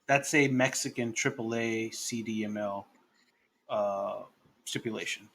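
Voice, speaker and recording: A man's voice: lowish voice, measured pace, gravelly, fairly bright tone, slight background noise. A man co-hosting a podcast, blunt and teasing in casual chat. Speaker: podcast co-host.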